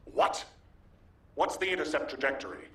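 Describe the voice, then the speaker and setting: A man playing a stern but dryly indulgent military commander in a video game, speaking with measured authority. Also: on the high side, bright, forceful tone, roomy sound, far from the mic. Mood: alarmed.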